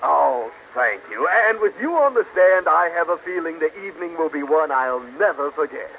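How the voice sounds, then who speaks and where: Lilting, pompous civic gentleman. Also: a little high, expressive, moderate pace. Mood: flattered. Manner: pompous, formal, hemming. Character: stuffy civic-minded gentleman, easily charmed by flattery. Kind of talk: old-time radio sitcom acting. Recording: muffled old radio recording, faint noise.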